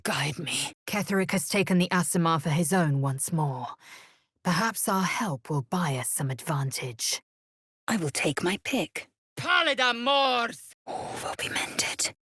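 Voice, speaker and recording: A woman playing a proud, fierce githyanki warrior in a fantasy video game, with a husky, raspy voice and a clipped, imperious, formal delivery. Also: low-pitched, dry recording, clean studio sound, exaggerated voice. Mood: fierce, urgent.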